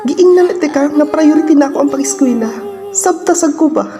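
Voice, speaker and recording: A woman's voice: sits high, a little fast, over music, natural voice. A woman playing a sensible young student girlfriend in an amateur radio drama. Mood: worried, firm.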